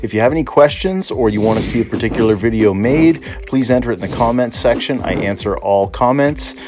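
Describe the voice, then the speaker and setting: A man's voice: medium pitch, brisk. Man's outro voice-over over music. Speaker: channel host.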